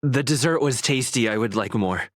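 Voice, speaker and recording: A man's voice: mid-range voice, normal speed, natural voice. A man playing a bewildered young hero in a video game, speaking helplessly in scripted dialogue. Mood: baffled. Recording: music clearly under the voice.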